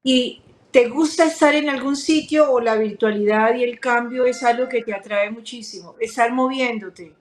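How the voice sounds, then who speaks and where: A woman's voice: on the high side, moderate pace. A woman hosting an interview, asking her guest curious, open questions. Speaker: host.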